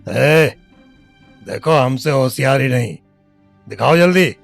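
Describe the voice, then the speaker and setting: A menacing, lecherous middle-aged drunk in an audio drama. His speech is slow, slurred and gravelly, with an eastern Hindi heartland accent. Music plays behind him. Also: medium pitch, expressive.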